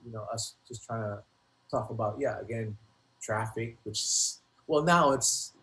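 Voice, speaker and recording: A middle-aged man reminiscing casually as an interview guest, in a halting, slangy way that often doubles back on words. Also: on the low side, a bit bright, rough recording. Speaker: guest, rapper.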